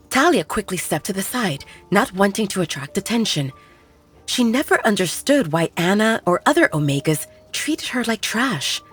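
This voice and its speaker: A woman's voice: medium pitch, slightly rough. A woman narrating a scripted romance audiobook in a dramatic storytelling style. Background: faint background music.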